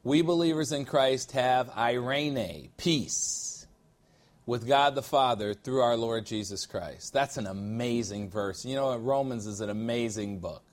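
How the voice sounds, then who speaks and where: A man preaching as a pastor, teaching verse by verse, stretching key words slowly for weight and building intensity toward his main points. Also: medium pitch. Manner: jokes lightly with the congregation. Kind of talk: expository sermon teaching from scripture.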